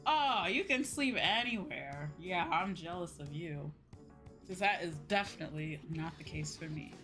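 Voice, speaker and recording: A woman's voice: on the low side, normal speed. A young woman chatting casually and playfully with viewers on a livestream as a game streamer. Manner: animated, playful, conversational. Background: music.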